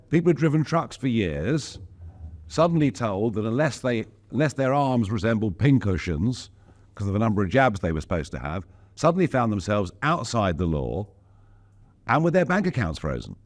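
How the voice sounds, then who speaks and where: A man's voice: fairly low pitch, big pitch swings, normal speed. A man being interviewed as a politician, speaking in an emphatic, outspoken way with wry asides. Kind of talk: casual interview talk.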